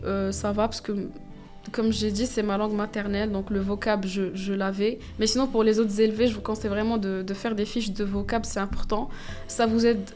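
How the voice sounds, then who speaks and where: A woman's voice: medium pitch, a little mellow. Young woman, native Arabic speaker, hesitant, full of fillers and restarts, faint music underneath. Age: young adult. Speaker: business school student, interview guest. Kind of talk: casual interview answers.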